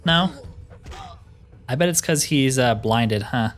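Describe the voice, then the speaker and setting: A man's voice: mid-range voice, lively intonation, takes it slowly. A man giving casual let's play commentary, thinking aloud as he plays and grumbling wryly. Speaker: gaming video host.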